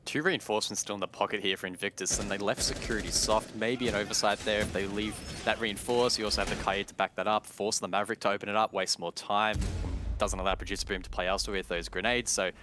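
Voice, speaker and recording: A man commentating live on an esports match in a measured, analytical way. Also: fairly low pitch, steady tone, music in the background. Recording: game gunfire and clicks behind voice. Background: game gunfire, explosions and clicks.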